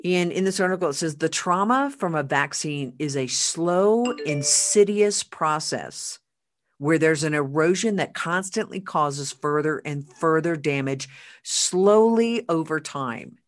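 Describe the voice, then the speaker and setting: Brief: podcast host, emphatic, halting repeats, slows for quotes. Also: medium pitch. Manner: emphatic, repeats words, reads quotes slowly. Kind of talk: casual podcast chat.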